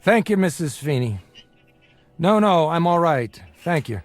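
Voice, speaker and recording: A world-weary small-time hustler in an old-time radio drama. His voice sits on the high side and swings freely, full of flippant wisecracks and bravado, over soft background music. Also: very animated, unhurried. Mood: anxious, shaken.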